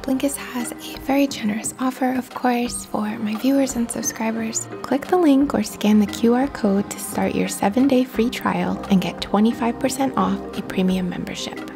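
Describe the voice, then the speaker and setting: A woman host softly whispering a sponsor read in an unhurried, breathy ASMR style. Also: on the high side. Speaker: video host reading a sponsor message. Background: music.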